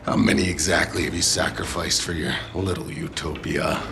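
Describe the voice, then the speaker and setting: An actor playing a hard-bitten, sardonic mercenary in a video game cutscene, blunt and terse with a gravelly voice. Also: male voice, sits low, level tone, moderate pace, pushed and bright, a little hiss or hum, heavily acted. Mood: accusing. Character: hard-bitten, sardonic male mercenary who kills without apology.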